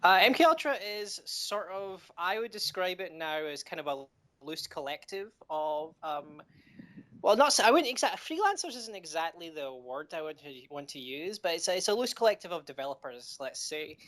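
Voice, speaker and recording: A middle-aged man from Scotland with a Scottish accent, answering interview questions with frequent pauses, fillers and restarts. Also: fairly high pitch, sing-song, sharp tone. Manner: hesitant, many ums and restarts. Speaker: game developer guest.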